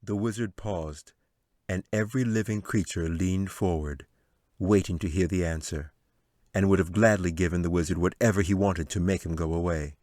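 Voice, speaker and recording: A man reads a fantasy story aloud in a low voice, recorded close and dry. His delivery is dramatic and clearly scripted, in the manner of a storybook narrator. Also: steady tone, normal speed, darkish tone, no echo. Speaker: author reading his audiobook.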